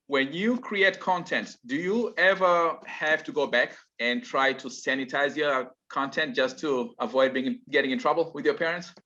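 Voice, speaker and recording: Guy interviewer, bright forceful tone, halting uh pauses, muffled audio. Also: medium pitch. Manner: measured, halting questions with "uh" pauses. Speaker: interviewer host. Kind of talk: interview questions.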